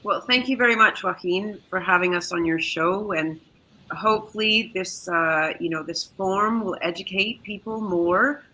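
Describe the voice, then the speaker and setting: A woman's voice: medium pitch, normal speed, pushed and bright, slight background noise, rough recording. A woman with a Canadian accent speaking graciously as a panelist over a video call. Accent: Canadian English. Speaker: panelist, business consultant. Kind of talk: polite panel introduction.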